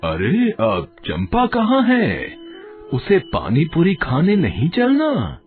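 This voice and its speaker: A man voicing a kindly elderly father of two girls, speaking in a slow, drawn-out way. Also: fairly high pitch, huge pitch swings, heavily acted. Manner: slow, drawn-out, warm-hearted, relishing. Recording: dull lo-fi audio, faint music.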